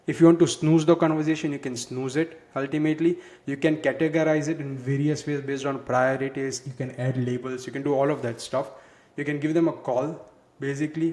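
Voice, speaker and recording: A man presenting a software walkthrough in a conversational, explanatory way, leaning on filler words. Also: medium pitch. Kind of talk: walkthrough demo narration. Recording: echoey, roomy sound.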